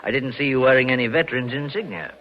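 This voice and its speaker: A man's voice: mid-range voice, fast, lightly acted. An actor playing a fussy, obliging male bank vice president, pompous and hesitant, repeating himself in 1940s radio style. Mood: fussy, approving. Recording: muffled, noisy old radio recording.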